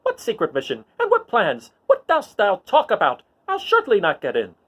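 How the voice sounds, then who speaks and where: A man's voice: high voice, wildly swooping pitch, normal speed, fairly bright tone, voice pushed up, full character voice. A man voicing a fussy, indignant protocol droid, haughtily exclaiming Shakespearean insults in a dramatic reading. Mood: indignant scolding.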